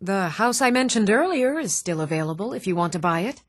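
An actress playing an earnest, even-toned woman in a fantasy video game. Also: mid-range voice, a little fast, no echo, clean studio sound, natural voice. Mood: polite, businesslike. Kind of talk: video game character dialogue.

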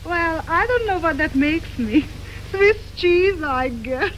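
An actress playing a chatty, hopeful young waitress arriving as a bride, talking in a folksy, ungrammatical way, in early 1930s film acting. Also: female voice, extremely high pitch, expressive, slow, muffled recording, exaggerated voice. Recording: hissy early sound film.

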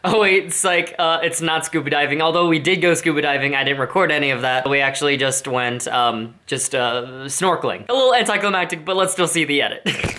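A man's voice: on the high side, a little fast, bright, forceful tone, soft background music. Rambling teenage boy vlogger. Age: teens. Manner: rambling, self-correcting, laughs at himself. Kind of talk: casual vlog chat. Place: small bedroom with posters.

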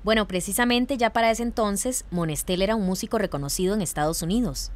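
A woman host giving scripted narration in a measured, formal manner, like a teacher. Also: medium pitch.

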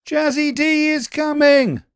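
A man voicing excitable rabbits crying out in a storybook. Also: very high-pitched, slow, no room sound, clean studio sound, voice pushed up, heavily acted. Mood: panicked.

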